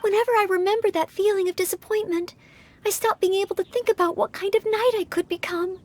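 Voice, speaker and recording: A woman's voice: extremely high pitch, steady tone, fast talker, darkish tone. A woman voicing an earnest, polite, self-doubting young maid who hopes to be a knight in an anime-style video game, speaking with careful diction. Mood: dejected.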